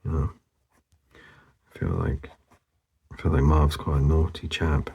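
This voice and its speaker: A man narrating casually as he draws, laid-back and murmuring, with a husky, gravelly voice that often trails off. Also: a bass voice, very animated, a little slow, warm tone, muffled recording. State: losing his voice, hoarse. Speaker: artist hosting a drawing channel. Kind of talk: casual narration while drawing.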